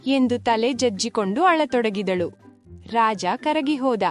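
A woman's voice: a little high, lively intonation, a little slow, soft background music. A woman narrating a moral folk tale in a scripted storytelling style.

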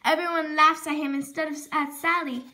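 A woman's voice: high-pitched, little pitch movement, brisk, bright, forceful tone. A female reading a children's storybook aloud expressively, sometimes stumbling over words and correcting herself. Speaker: storybook reader.

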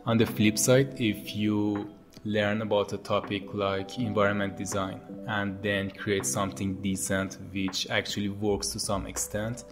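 A man in his thirties with an Iranian accent, calmly giving scripted advice to camera as host of a tutorial channel, speaking into a boom-arm mic in his home studio. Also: unhurried. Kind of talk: scripted educational talk to camera. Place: home studio with monitors and posters. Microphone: boom-arm podcast mic. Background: soft background music.

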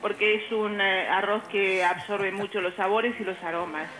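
An older woman from Uruguay who now lives abroad, calling into a TV cooking show by phone and chatting warmly with the hosts. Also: mid-range voice, steady tone, moderate pace, reverberant, soft background music, low-quality recording. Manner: warm, chatty, complimentary. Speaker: phone-in caller. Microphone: phone line.

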